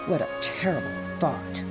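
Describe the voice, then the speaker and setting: A woman's voice: deep voice, big pitch swings, slow, full character voice. A woman playing a sarcastic, resentful young female researcher in a video game. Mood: mocking. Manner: sarcastic, mocking. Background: game music.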